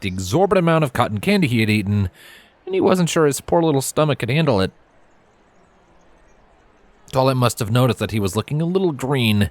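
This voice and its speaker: A man's voice: lowish voice, no room sound. A man reading a story aloud as narrator, in scripted storybook narration. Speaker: narrator, fan fiction reader.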